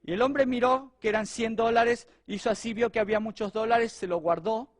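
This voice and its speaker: A middle-aged Argentine man, a pastor, telling a personal testimony during a sermon in a lively, conversational way. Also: high-pitched, normal speed, no echo, studio quality. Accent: Argentine Spanish. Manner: animated, conversational storytelling to a congregation.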